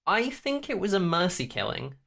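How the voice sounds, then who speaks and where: A man's voice: high voice, big pitch swings, moderate pace, creaky, sharp tone. A man co-hosting a podcast, giving his reactions in casual chat and thinking aloud as he goes. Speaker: podcast co-host.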